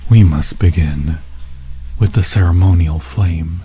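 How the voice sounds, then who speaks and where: A man voicing an obsessed, possessive demon lord in an ASMR horror roleplay, husky and breathy, often dropping into a whisper, with grandiose, seductive phrasing. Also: low-pitched, unhurried, mellow, faint music behind. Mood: commanding, ceremonial. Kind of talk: ASMR fantasy horror roleplay, theatrical monologue. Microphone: close, dry microphone.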